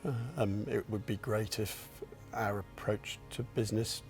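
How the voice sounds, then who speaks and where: A man in his sixties answering interview questions in a reflective, modest way, pausing and restarting as he thinks. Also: lowish voice, fairly even intonation, a little mellow, faint music behind. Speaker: company founder and chairman.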